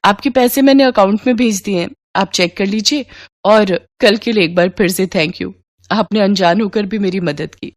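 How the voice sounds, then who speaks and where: A woman's voice: mid-range voice, moderate pace, dry recording, studio quality, close to an everyday voice. A woman voicing a polite, earnest young rich woman in an acted storybook dialogue. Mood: grateful.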